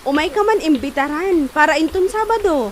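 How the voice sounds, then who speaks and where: A woman's voice: sits high, expressive, a little fast, slight background noise. A woman playing a polite village wife in an Ilocano comedy drama, speaking with an Ilocano accent. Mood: polite, hesitant. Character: polite village wife inviting neighbours to her birthday.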